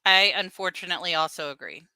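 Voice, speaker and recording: A woman co-host speaks in a bright, crisp voice, recorded close and dry. She answers mostly in short, dry remarks during casual chat. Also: fairly low pitch, animated, fast talker, no room sound, poor audio.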